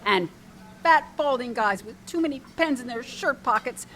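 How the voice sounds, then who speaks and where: An actress playing a sardonic, down-on-her-luck waitress in a comedic radio drama, quick-witted, dry and exasperated. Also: high-pitched, lively intonation, a bit bright. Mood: indignant, defiant.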